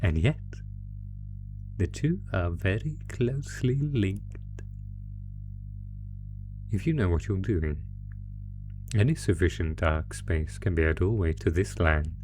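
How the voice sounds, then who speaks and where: A man plays a sinister monster from under the bed in a horror audio roleplay, with a very low voice. He gloats and taunts with mock politeness, very slowly and deliberately, relishing cruelty over clearly audible music. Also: lively intonation. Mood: ominous, amused. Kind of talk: horror roleplay audio, slow theatrical menace.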